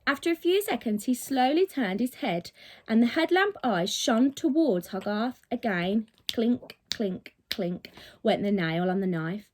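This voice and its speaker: A woman's voice: on the high side, animated, a little slow. A woman reading a children's story expressively, with dramatic, emphatic delivery, like a teacher reading to pupils.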